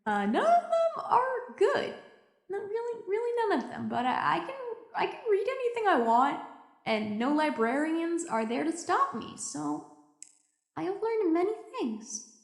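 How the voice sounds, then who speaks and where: A high, echo-laden voice belongs to an actress playing a lonely, jaded woman stranded in a deep-sea station. She keeps an anxious audio log for a drama podcast, rambling, stammering and interrupting herself as she confides. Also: very animated, measured pace, heavily acted. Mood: wry, musing. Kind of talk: audio drama monologue, confessional recorded log. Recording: echoey room.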